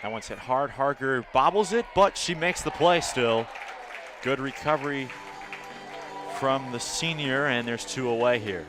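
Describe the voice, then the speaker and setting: An American man works as a play-by-play announcer on live baseball and softball broadcasts. His voice sits at an average male pitch, and he reports the action pitch by pitch. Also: unhurried, over music, a crowd in the background.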